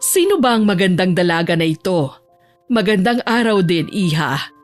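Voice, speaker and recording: A woman's voice: lowish voice, very animated, normal speed, soft background music, voice pushed down. A woman voicing a dignified, powerful elderly grandmother with a formal, commanding manner in an audio drama. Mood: gracious.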